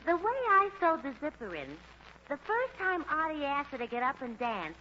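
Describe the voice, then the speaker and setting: Mischievous gossiping pal for old radio comedy: forceful, very lively intonation. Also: female voice, high voice, moderate pace, exaggerated voice. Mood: sly, gossipy. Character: sly, conspiratorial female friend, mischievous. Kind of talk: 1940s radio comedy acting. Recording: dull, noisy old radio recording.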